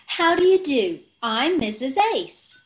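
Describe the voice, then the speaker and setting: A woman's voice: high voice, big pitch swings, slow pace, full character voice. A woman playing a scatterbrained, agreeable wife in an old-time radio comedy, ditzy and earnest, over a video call. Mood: take-charge. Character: scatterbrained, agreeable wife who mangles sayings.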